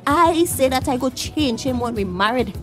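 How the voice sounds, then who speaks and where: A careworn, harassed little neighbour in a radio drama. Her voice is high and plaintive, with a Trinidadian accent and an emphatic, confiding delivery. Faint music sits behind her. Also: lively intonation, normal speed. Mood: bitter, heavy-hearted. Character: small harassed, weary, pleading neighbour and mother. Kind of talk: radio play acting in dialect.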